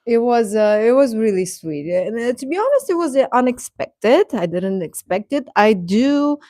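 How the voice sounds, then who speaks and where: A woman's voice: a little high, very animated, moderate pace, warm tone, no echo, studio quality. A woman hosting a casual livestream, chatting to viewers in a hesitant, rambling, stop-and-start way. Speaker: livestream host.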